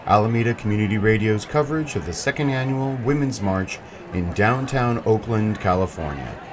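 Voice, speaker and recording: A man's voice: on the low side, lively intonation, normal speed. A man hosting radio coverage of a protest, reading scripted narration. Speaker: radio host and reporter. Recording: crowd noise behind the voice.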